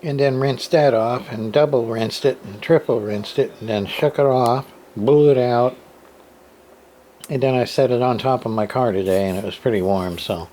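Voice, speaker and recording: A man casually narrating hands-on repair work, thinking aloud in a rambling, halting way and muttering to himself. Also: medium pitch, dark tone, poor audio. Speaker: hobbyist radio repairer. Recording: clear background noise, room ambience.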